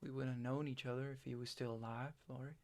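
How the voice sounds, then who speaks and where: A man's voice: mid-range voice, steady tone, fast talker, darkish tone, natural voice. An actor playing a troubled, literal-minded rural young man in a stage play reading, stammering and repeating his words. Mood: agitated, insistent.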